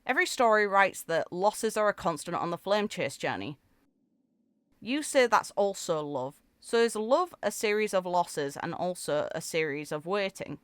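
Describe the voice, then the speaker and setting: Lowish voiced lady doing a story read for a game vid, dry sound, music under it. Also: lively intonation, normal speed, clear, resonant tone, dry recording. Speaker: gaming video creator reading game character lore aloud. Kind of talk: reading story text aloud with casual commentary. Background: background music.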